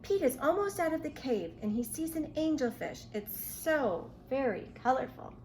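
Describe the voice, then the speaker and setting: A woman reading a picture book aloud to young children in an expressive, questioning way. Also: high voice, normal speed, a little hiss or hum. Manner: expressive, asks the listener questions. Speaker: children's storybook reader.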